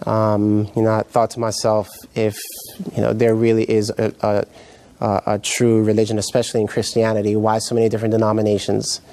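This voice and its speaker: A middle-aged man from Jamaica, raised in New York, giving his personal testimony as a guest pastor in a halting, reflective way. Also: lowish voice, completely flat delivery, mellow, clean tone. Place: indoor TV studio set, seated.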